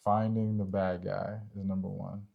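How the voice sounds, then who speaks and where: A man's voice: low voice, level tone, normal speed, creaky, phone-quality sound. A man co-hosting a relationship podcast, explaining casually and informally, often checking that the listener follows. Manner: informal, checks in with "right?" and "you know?".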